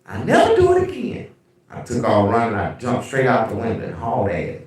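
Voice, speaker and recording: A man with a deep bass voice hosting a casual livestream, telling animated, profane stories. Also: fairly quick, far from the mic. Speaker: livestream host. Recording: very echoey room, away from mic.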